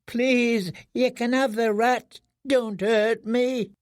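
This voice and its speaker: A man's voice: extremely high pitch, fairly even intonation, takes it slowly, dry recording, studio quality, pitched above the performer's own voice. A man voicing a broken, starving prisoner who sounds aged, mumbling and pleading in a thin, weak voice for an audiobook. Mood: terrified, broken.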